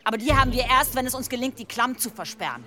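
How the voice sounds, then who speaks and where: A tough village chief lady, forceful and bright, bossy but reasoning, radio play. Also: on the high side, animated, quick pace, slight background noise. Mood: commanding. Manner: firm, commanding, reasoning. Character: resolute village war leader, a woman, commanding. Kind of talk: German radio drama acting.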